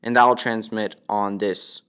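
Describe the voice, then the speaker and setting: A man's voice: on the low side, level tone, measured pace, fairly bright tone, clean tone. A young man giving a casual, matter-of-fact walkthrough as he demonstrates a product.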